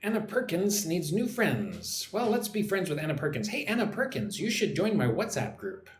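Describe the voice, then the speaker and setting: Lively American man teaching English live. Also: sits high, normal speed, echoey room, a little hiss or hum. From United States. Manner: chatty, answers viewers by name. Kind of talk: casual livestream lesson, reading chat comments. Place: home in Vietnam.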